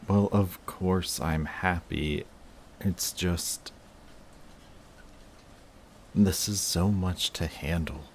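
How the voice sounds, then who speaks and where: A man playing a guarded villain in love with a rival, in an intimate audio roleplay with halting, emotional delivery. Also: sits low, slight background noise, full character voice. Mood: overwhelmed, happy. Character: lovestruck male villain, guarded, flustered, confessing love to rival.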